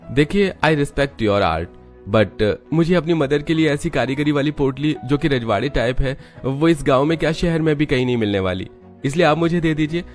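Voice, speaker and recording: Guy doing a smitten polite rich young cartoon hero, voice pitched up a bit, eager, music under it. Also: animated, normal speed, close to an everyday voice. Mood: admiring, pleading. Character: smitten polite young man from a wealthy family.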